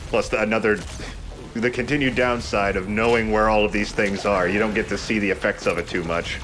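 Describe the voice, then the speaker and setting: Man, low voice, let's player, casual, self-mocking, wry, sweary, faint background noise. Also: steady tone, brisk. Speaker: let's player playing the game. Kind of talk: casual joking game commentary.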